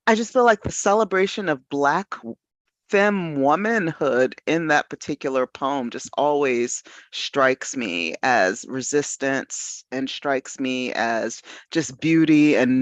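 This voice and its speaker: Man, slightly high voice, animated book-panel talk, dry but dull lo-fi sound. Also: no room sound. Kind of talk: casual literary panel discussion. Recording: dull lo-fi audio.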